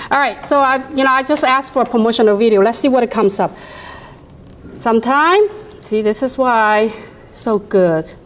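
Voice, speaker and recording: A woman with a high voice and a non-native English accent leads a workshop, chatting and animated as she demos software for the room. A faint hum sits behind her in a conference room. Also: measured pace, long pauses. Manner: chatty, animated, laughs often, talks to the audience directly. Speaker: workshop presenter. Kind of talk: live software demo to a room.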